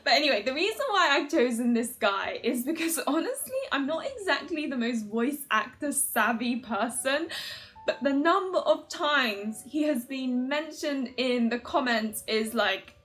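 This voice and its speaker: A woman's voice: sits high, lively intonation, a little slow, fairly bright tone. A woman hosting her own video, chatting casually to viewers in a giggly, informal, rambling fangirl manner. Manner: giggly, gushing fan talk, informal and rambling. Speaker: host of a video channel about otome games.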